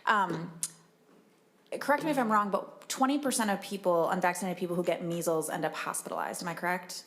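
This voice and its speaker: A woman's voice: lowish voice, fairly quick, fairly bright tone. A woman of forty speaking as a state senator in a committee, arguing earnestly in a conversational way. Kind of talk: legislative committee remarks.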